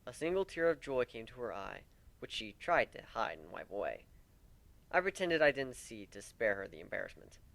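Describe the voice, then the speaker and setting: A man's voice: mid-range voice, big pitch swings, normal speed, vocal fry, a bit bright. A man giving a dramatic reading of a first-person story as narrator. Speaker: story reader.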